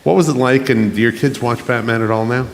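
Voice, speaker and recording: A man's voice: mid-range voice, a little fast. A man moderating a live panel, teasing and joking as he goes. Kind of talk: jokey live panel hosting. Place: large convention hall with live audience. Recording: echoey hall, audience and background noise.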